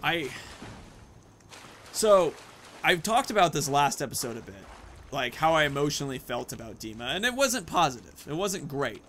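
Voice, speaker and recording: Male gaming host, higher-pitched and bright, casual think-aloud play commentary over music. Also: very animated, moderate pace, slight background noise. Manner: thinks aloud, narrating own moves and choices. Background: video game music.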